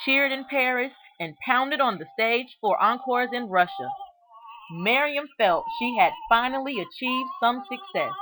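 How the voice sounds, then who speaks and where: A woman reads a children's storybook aloud as narrator, with measured, expressive storytelling and deliberate phrasing. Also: a little high, noisy background. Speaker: reader of a children's book aloud.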